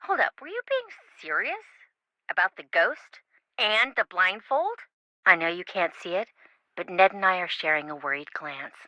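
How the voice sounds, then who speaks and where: A woman's voice: fairly low pitch, sing-song, normal speed, bright, forceful tone, no echo, highs cut off. An actress playing a sassy young woman, a wisecracking best friend in a video game, with a teasing, sarcastic manner. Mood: incredulous. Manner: teasing, sarcastic, dryly exaggerated.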